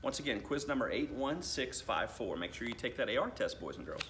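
An American man in his thirties reads to children as a school principal. His mid-range voice moves up and down in a lively way, with deliberate phrasing. There is faint noise in the room around him. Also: mid-range voice. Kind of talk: storybook read-aloud. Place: school library, indoors.

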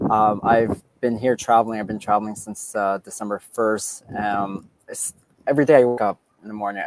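A man in his forties from Canada telling his story on a video call, relaxed and full of fillers, outdoors with earbuds. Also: on the low side, poor audio. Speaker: guest speaker. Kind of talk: casual personal story. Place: outdoors by a beach. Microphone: earbud headset on video call.

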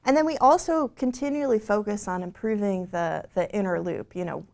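A woman in her forties speaks in a studio, her voice carrying a gravelly, creaky edge at the ends of phrases. She explains product features fluently, peppering her talk with "you know" and quick restarts, at a middle pitch for a woman. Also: darkish tone. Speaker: product lead presenting the software. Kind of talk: scripted-feeling tech keynote conversation. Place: bright studio stage with screen behind.

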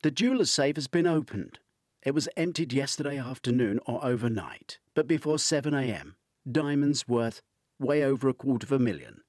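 A man puts on a female client in a thriller audiobook. She is businesslike and insistent as she explains things on a call. The voice is lifted in pitch, a little husky and dry. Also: expressive, normal speed, a little mellow, dry recording, lightly acted.

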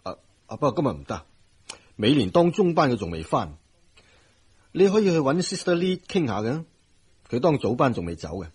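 A kindly middle-aged family doctor in a Hong Kong Cantonese radio drama. His voice is very husky and swings widely in pitch. He speaks in a measured, avuncular way, with some hesitation and regret. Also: big pitch swings, a little mellow. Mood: obliging, hesitant.

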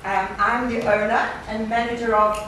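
A woman's voice: on the high side, little pitch movement, normal speed, very noisy, natural voice. A woman acting a quirky manager in an amateur stage play, with a theatrical sing-song delivery. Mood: friendly. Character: quirky friendly woman manager who sings her sentences. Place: indoor theatre stage before an audience. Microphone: far camera. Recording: echoey theatre stage, distant camera mic, music.

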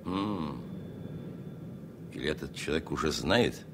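An actor playing a stern, curt airliner captain in a 1970s Soviet radio play, clipped and authoritative. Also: male voice, extremely low pitch, lively intonation, measured pace, heavily acted. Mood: stern, irritated. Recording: noisy cockpit in old radio play.

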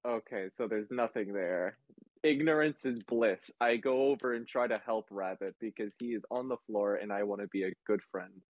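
A man's voice: fairly low pitch, normal speed, highs cut off. A man chatting casually as a player in a tabletop game, hesitant and often repeating his phrases. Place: online group voice call, dry.

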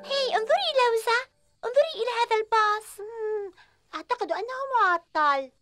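A woman's voice: very high voice, a little fast, fairly bright tone, dry recording, clean studio sound, soft background music. A woman voicing a cheerful, eager young cartoon girl. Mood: chirpy. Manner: chirpy, eager.

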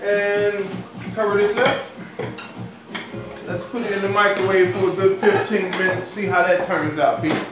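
A man's voice: high voice, steady tone, moderate pace, long pauses. A middle-aged man co-hosting a home cooking video, chatty and teasing. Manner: laid-back, chatty, teasing. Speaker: cooking show co-host. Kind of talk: casual home cooking chat. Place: home kitchen, indoors. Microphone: far camera. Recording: echoey kitchen, far mic, music behind.

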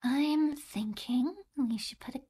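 A woman voicing two doting, possessive young female captors in a whispered audio roleplay. She speaks in a soft, cooing whisper full of pet names, with a husky voice. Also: sits high, slow, heavily acted.

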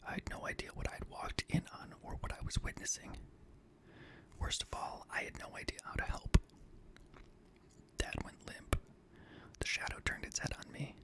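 A young man reading scary stories in a hushed, breathy ASMR whisper, gently, close to a studio microphone. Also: rough recording. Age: young adult. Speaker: host and reader of scary stories. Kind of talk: whispered ASMR horror story narration. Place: small indoor home studio room. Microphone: close boom-arm studio microphone.

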